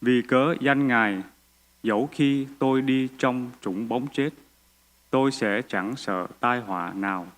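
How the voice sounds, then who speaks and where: A man reading a psalm aloud in a church service with steady, even recitation. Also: medium pitch, measured pace. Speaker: scripture reader. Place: church sanctuary.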